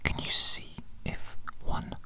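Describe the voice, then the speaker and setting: A performer voicing an eccentric, bossy evil doctor in a breathy whisper for an ASMR medical roleplay, theatrical and mock-formal, repeating phrases. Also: slow pace, close to an everyday voice. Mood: coaxing, patient. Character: eccentric evil doctor, bossy, easily offended, gleefully fascinated.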